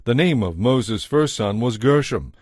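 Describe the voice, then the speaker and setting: A man narrating a dramatized Bible from a script in a measured storytelling manner. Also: medium pitch, animated, darkish tone, breathy edge, no room sound, clean studio sound.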